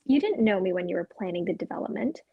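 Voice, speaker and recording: Husky, understated woman, acting a guarded girlfriend. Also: medium pitch, expressive, fast, dry recording, highs cut off. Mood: mildly defensive. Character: independent, guarded, sentimental girlfriend. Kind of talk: naturalistic dramatic script reading.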